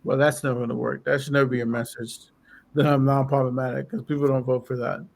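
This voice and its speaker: A man in casual political chat on a podcast, losing his voice and clearing his throat as he talks in a rambling way. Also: medium pitch, level tone, fast talker. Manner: rambling, lots of fillers. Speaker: podcast co-host.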